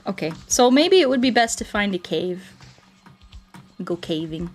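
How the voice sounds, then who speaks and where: A woman's voice: medium pitch, big pitch swings, a little slow, darkish tone, soft background music. A woman livestreamer in casual, playful, rambling chat, answering her viewers aloud as she plays a game.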